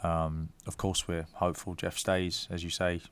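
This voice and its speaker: A man in his thirties, a football manager at a press conference, giving measured answers with frequent ums and restarts into a desk mic. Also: sits low, little pitch movement, a bit bright, clean tone. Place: club press room.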